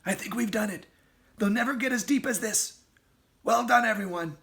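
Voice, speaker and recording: A man's voice: sits high, normal speed, gravelly, voice pushed up, heavily acted. A man voicing a frantic father fox. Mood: relieved. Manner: urgent, excited exclaiming. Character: frightened, determined male fox father.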